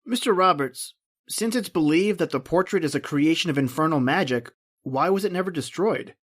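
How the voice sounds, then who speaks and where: An actor playing a polite, deferential young fiancé growing uneasy, in old-time radio drama style. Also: male voice, a little high, expressive, normal speed, a bit bright.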